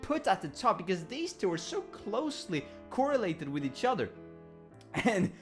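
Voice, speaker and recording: A man with a Swedish accent vlogging in a casual, rambling way, often correcting himself and filling pauses with ums and uhs. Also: high voice, very animated, soft background music. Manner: rambling, self-correcting, lots of fillers and restarts. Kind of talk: casual talking-head reflection.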